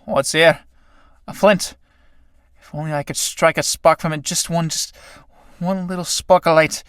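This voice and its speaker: A man playing a battered old male tramp who talks in rough, colloquial speech. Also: a little high, steady tone, a little slow, a bit bright, dry recording, clean studio sound. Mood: wondering. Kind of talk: radio play acting.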